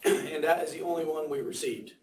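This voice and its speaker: A man with a hoarse, fading voice presenting bids at a county meeting, reading figures slowly and repeating them. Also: fairly high pitch, flat delivery. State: hoarse, losing his voice. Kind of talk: public meeting report. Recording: echoey room, mic at a distance.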